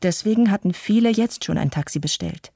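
A mellow, slightly husky female voice in a dry recording plays a shaken but resolute mother acted by a woman. She tells her testimony in a measured, sober radio play monologue. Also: medium pitch, no room sound. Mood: sober, grave.

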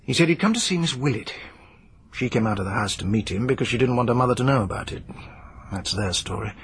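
Steady polite police inspector, kinda husky and rough, radio play. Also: male voice, mid-range voice, no echo. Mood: earnest report. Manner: measured, polite, persistent. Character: dogged, skeptical police inspector Narracott. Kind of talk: radio drama acting.

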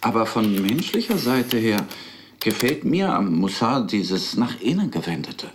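A man's voice: on the low side, sing-song, unhurried, noisy background. An actor in a radio play playing an earnest, articulate married professional man with an ironic, emphatic manner. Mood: wry, zealous. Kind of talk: radio play dialogue and recollection.

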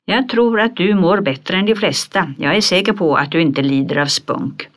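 A kindly older town doctor from a storybook who speaks slowly and calmly, as a woman reads him. He sounds gently sure of himself and reassuring. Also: lowish voice, close to an everyday voice.

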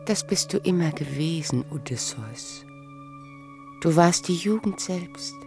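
Serene mature wife, dreamlike, slow and soothing, lowish voice, radio drama. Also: female voice, expressive, a little mellow, faint music behind. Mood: tender. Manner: measured, soothing, poetic. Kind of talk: radio play acting.

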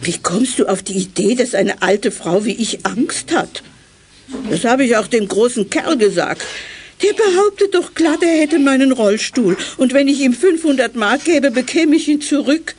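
A woman's voice: sits high, lively intonation, moderate pace, a little mellow, a little hiss or hum. An actress playing a feisty, mocking old grandmother in a brusque, sardonic manner for a German children's audio drama. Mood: brusque, amused. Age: older. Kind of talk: German children's radio play acting.

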